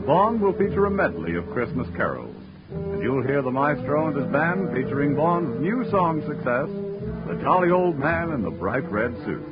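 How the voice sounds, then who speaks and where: A man's voice: medium pitch, dull audio. An American man working as a radio announcer, reading scripted credits and promotions in 1940s broadcast style. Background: music.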